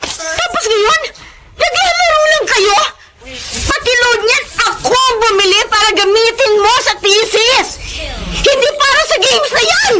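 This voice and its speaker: An actress playing a young, long-suffering Filipino elder sister in a Tagalog radio drama, with an expressive, emotional delivery. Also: female voice, extremely high pitch, unhurried, very noisy. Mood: hurt, angry.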